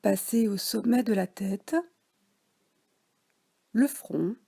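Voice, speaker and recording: Slow soft-spoken French woman guiding relaxation. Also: medium pitch, vocal fry, slightly rough. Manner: slow, soft, partly whispered guidance. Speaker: relaxation guide. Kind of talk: guided yoga nidra relaxation.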